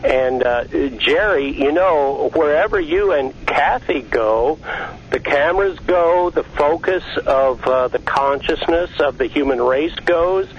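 A man from Georgia calling in to a talk show, speaking slowly with many fillers and pauses. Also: a little high, muffled recording, a little hiss or hum. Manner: slow, halting, many fillers, flattering. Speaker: caller. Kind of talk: call-in radio talk.

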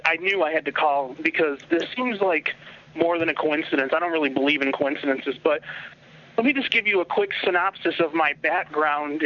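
A man from Philadelphia calls in to a radio show for the first time, with a fairly high voice. He talks earnestly and at length, and the phone line is dull. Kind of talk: phone call-in.

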